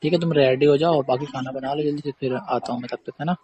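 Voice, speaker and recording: A man's voice: mid-range voice, steady tone, quick pace, warm tone, dull audio. Man, mid-range voice, informal recorded phone conversation, faint noise behind him. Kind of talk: casual phone chat. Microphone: phone call recording.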